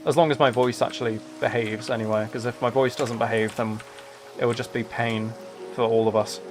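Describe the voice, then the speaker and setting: A man hosts a live game stream in a casual, conversational voice at a middle pitch. He rambles from thought to thought with lightly wry asides to himself, while music plays faintly behind him. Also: fairly even intonation, a little fast. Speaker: streamer, host. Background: background music.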